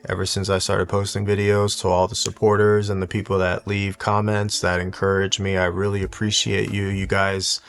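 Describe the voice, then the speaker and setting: A man talking casually and reflectively in a monotone, low-key, unhurried way, like a personal video diary for his hobby channel. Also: clean tone. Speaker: YouTube watch collector and content creator. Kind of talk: casual reflective solo vlog talk.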